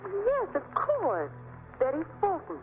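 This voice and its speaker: A woman's voice: very high voice, wildly swooping pitch, takes it slowly, soft background music, heavily acted. An actress playing a witty young society woman with teasing banter in an old radio drama. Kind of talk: 1930s radio acting. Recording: tinny, hissy old radio broadcast.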